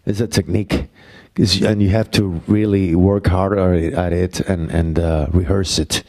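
A middle-aged man with a Puerto Rican accent, a playwright answering audience questions on a university stage into a handheld mic, halting, with many fillers. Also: deep voice, steady tone, warm tone. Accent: Puerto Rican Spanish. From Puerto Rico. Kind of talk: Q&A talk after a play.

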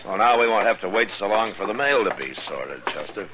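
An actor playing a watchful, lonely American marshal in a 1950s radio western. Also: male voice, fairly low pitch, expressive, fairly quick, natural voice. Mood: easygoing. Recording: noisy 1950s radio broadcast recording.

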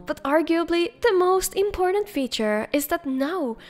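A woman's voice: very high-pitched, lively intonation, measured pace, faint music behind. A woman hosting a game mod showcase, reading upbeat scripted narration as a voice-over. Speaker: video host, game mod reviewer.

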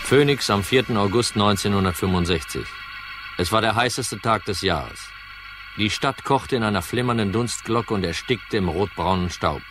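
Schauspieler als trockener, tiefstimmiger Detektiv im Krimihörspiel. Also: male voice, lowish voice, moderate pace, over music, close to an everyday voice. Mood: dry, weary. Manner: dry, laconic, grumbling. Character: gruff, weary hard-boiled male insurance detective. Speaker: narrator and lead actor. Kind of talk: noir crime radio drama acting.

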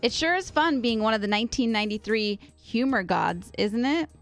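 A woman's voice: fairly high pitch, expressive, fairly quick, faint music behind. An American woman in her thirties chatting casually as a radio co-host. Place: radio studio. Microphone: close studio microphone.

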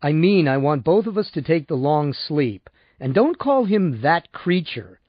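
A stubborn, sardonic man from a novel, played by a male narrator. He talks curtly and impatiently in a lively, fairly high voice. The recording is dry and slightly dull. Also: measured pace, no room sound. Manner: curt, sardonic. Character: blunt, stubborn male customer, wry and impatient.

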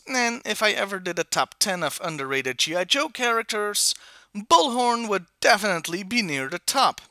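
A man's voice: high voice, creaky, bright, forceful tone, no room sound, studio quality. A man hosting a scripted review in a wry, opinionated manner. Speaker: toy review host.

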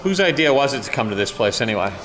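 A middle-aged man hosts a casual vlog with a playful, joking manner. His voice sits at an average pitch. He talks to a camera at arm's length, with music clearly behind him. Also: big pitch swings, fast. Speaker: vlog host. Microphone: action camera at arm's length.

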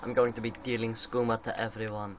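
A man's voice: on the low side, little pitch movement, fast talker. A young man joking and teasing a friend while making a game character at home. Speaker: gamer playing with a friend. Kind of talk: casual banter over gameplay. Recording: home video with faint background noise.

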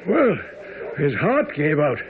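An earnest flustered sidekick in a radio play, high voice going up and down a lot, tinny sound. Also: male voice, very animated, takes it slowly, slight background noise. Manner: earnest, hesitant. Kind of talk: radio drama acting and narration. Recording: tinny band-limited radio, very crowded background.

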